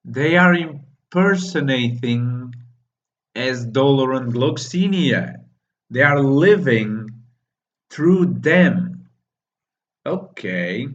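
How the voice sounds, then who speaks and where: Reaction host, man, English not his first language, slow and excitable with frequent laughs, on a dull lo-fi recording. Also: medium pitch. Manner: excitable, laughs often, reads lines aloud. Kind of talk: live reading and reaction.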